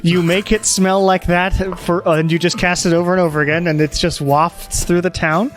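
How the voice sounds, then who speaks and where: A man's voice: high-pitched, little pitch movement, brisk. Rambling man narrating as game master. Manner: loose, rambling narration with fillers. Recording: faint background music.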